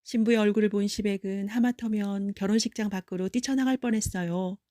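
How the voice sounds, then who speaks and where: A woman's voice: medium pitch, steady tone, brisk, dark tone, no echo, studio quality. A woman narrating a children's folktale audiobook as a storyteller, with explanatory storybook phrasing.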